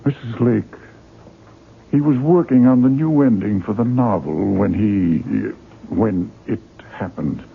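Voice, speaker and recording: A male actor playing a polite, hesitant publisher with a halting, formal manner, in 1970s radio drama style. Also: lowish voice, vocal fry. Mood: awkward, solemn. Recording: tinny, noisy 1970s radio broadcast recording.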